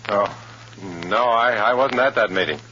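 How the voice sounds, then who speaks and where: An actor playing a guarded, sober undercover agent, narrating and acting in an old radio drama. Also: male voice, on the low side, normal speed, background noise, close to an everyday voice. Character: guarded, tense male undercover agent posing as loyal communist. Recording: dull old radio recording, music behind.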